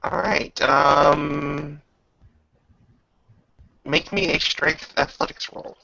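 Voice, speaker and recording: Gravelly man running a tabletop game. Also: a little high, fairly even intonation, drawn-out words, sharp tone, slight background noise. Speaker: game master. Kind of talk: running a casual tabletop roleplaying session. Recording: dull lo-fi recording.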